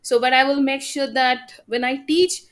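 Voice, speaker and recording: A woman with a fairly high voice and a Bengali Indian accent. Bengali is her first language. She talks in a conversational way, telling of her own experience as a podcast guest and teacher. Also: fairly even intonation, normal speed, fairly bright tone. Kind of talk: casual conversation, personal storytelling.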